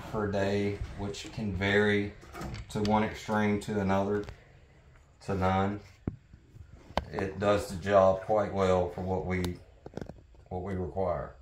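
Middle-aged guy in his shop showing how the blade setter works, kinda low voice, plain step by step. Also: flat delivery, slow pace, long pauses, a little hiss or hum. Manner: plain, step-by-step explaining with restarts. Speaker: sawmill blade technician. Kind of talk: hands-on workshop demonstration. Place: wood-walled workshop, indoors.

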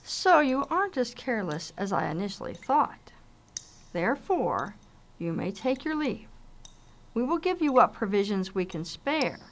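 Radio drama role: a woman as composed female rebel leader, fairly high, formal, measured, with wide inflection. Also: very animated. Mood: measured, conceding. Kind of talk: amateur radio drama acting.

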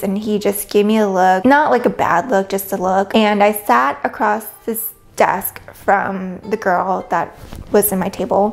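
Candid young woman with a gravelly edge. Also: mid-range voice. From United States. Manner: candid and reflective, gestures with her hands. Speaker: vlogger. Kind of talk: personal storytelling to camera. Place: living room, on a sofa.

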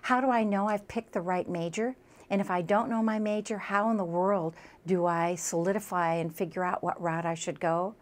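A woman's voice: on the low side, steady tone, normal speed. A woman speaking as a college instructor, explaining a program in an emphatic, promotional way. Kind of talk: explanatory promotional talk about a class.

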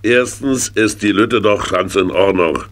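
Actor as a jovial uncle, middle-aged, low voice, teasing, kids radio play. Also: male voice, measured pace, natural voice. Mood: teasing, soothing. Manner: soothing, teasing. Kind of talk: German children's radio play acting.

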